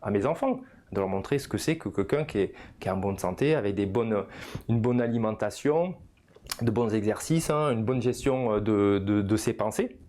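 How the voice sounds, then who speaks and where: A man's voice: on the low side, lively intonation, normal speed, a little mellow, slight background noise. A man, explaining in an informal coaching style and often punctuating his phrases with small tag words. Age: 50s. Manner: didactic, punctuates phrases with "hein" and "voilà". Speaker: personal development coach. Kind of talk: informal video lesson, explanatory.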